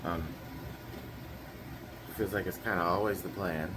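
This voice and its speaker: A man's voice: low-pitched, steady tone, a little slow, noisy background. A young man chatting casually through his daily vlog plans, a little nasal and rambling with lots of fillers. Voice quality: slightly nasal. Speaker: vlogger.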